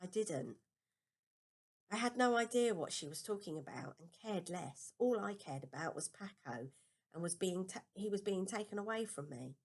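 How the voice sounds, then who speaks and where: A woman's voice: medium pitch, expressive, normal speed. A woman reading a children's novel aloud as a first-person storybook narration. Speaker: storybook reader.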